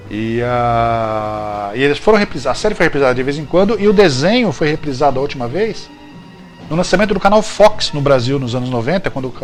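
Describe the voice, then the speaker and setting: A Brazilian man hosting a show, talking casually and jokily about trivia and often correcting himself. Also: mid-range voice. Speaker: show host. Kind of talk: casual, chatty TV-trivia commentary.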